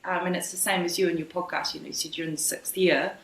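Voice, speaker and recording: A woman's voice: on the low side, fairly even intonation, quick pace, vocal fry, echoey room, rough recording. A woman in her forties with a New Zealand accent, a guest talking casually and reflectively over a remote call, often restarting her thoughts. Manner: reflective, loose and searching, frequent restarts. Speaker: guest, brand founder. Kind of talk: casual conversational interview. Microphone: remote video call.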